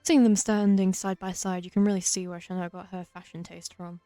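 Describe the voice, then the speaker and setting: A woman's voice: fairly low pitch, level tone, normal speed, mellow, no echo. A woman doing casual let's play commentary with dry, wry asides. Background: game music.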